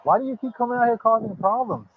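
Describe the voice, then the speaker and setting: A man's voice: very high voice, animated, fast, narrow, tinny audio, background noise. A man working as a police officer, giving firm, insistent, repeated orders in an exasperated way. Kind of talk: firm, repetitive commands at a scene.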